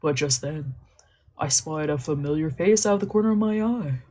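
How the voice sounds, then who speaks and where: A woman's voice: deep voice, expressive, normal speed, warm tone. A woman streaming a visual novel, reading its text aloud and joking playfully between lines, laughing and swearing casually.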